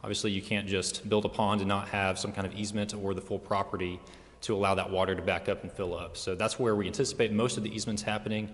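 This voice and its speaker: Male engineer, fairly low, careful technical answers, long hall reverb. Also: little pitch movement, fast. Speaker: consulting engineer answering questions. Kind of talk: technical answers at a public meeting. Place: council chamber.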